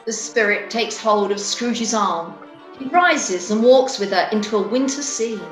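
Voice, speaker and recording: Female narrator, higher register, deliberate scripted storytelling, echoey sound over faint music. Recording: roomy echo.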